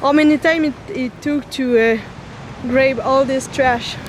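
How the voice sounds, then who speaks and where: A woman's voice: high voice, steady tone, measured pace, noisy background. A woman who is a native French speaker, interviewing and commenting casually as a documentary host.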